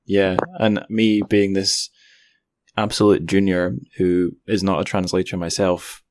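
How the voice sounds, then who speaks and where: A man's voice: sits low, little pitch movement, a little slow, background noise. A young man hosting a podcast interview in an informal, self-deprecating way. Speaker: podcast host. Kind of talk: casual interview.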